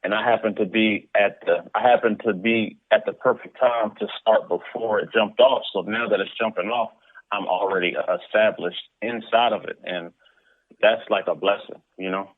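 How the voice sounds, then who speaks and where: Male guest, lowish pitch, casual talker, thin phone-quality audio with no room sound. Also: fairly even intonation, moderate pace, no room sound. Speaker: interview guest, comic book creator. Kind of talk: casual conversational interview answers. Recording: narrow, tinny phone-like line.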